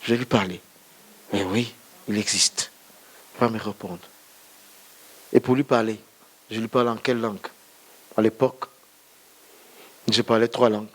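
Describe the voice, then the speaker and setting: A male pastor whose first language is not French, giving a storytelling testimony sermon in church and speaking straight to his listeners. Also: medium pitch. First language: not French, another mother tongue. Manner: storytelling, addresses listeners directly.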